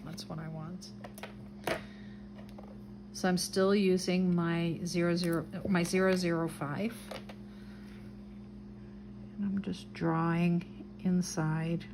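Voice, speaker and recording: A woman with a gentle Dutch accent narrates her crafting in an unhurried, low-key way. Her voice sits a little low and her pace is very slow. A clear background hiss runs under the recording. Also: flat delivery. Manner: soft, unhurried, describes each step as she draws. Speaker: craft video host. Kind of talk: relaxed craft tutorial narration.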